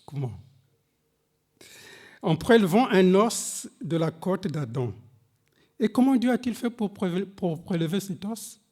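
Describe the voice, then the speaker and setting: Male preacher, non-native French speaker, high voice, unhurried and emphatic sermon delivery. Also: animated, a little mellow. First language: a language other than French. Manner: slow, emphatic preaching with dramatic pitch swings, reading scripture aloud; addresses the congregation directly. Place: church service.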